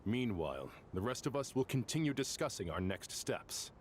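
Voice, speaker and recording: An actor playing a serious, decisive leader in a video game, formal, measured and businesslike. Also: male voice, fairly low pitch, sing-song, slight background noise. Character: composed authoritative male leader, serious and decisive.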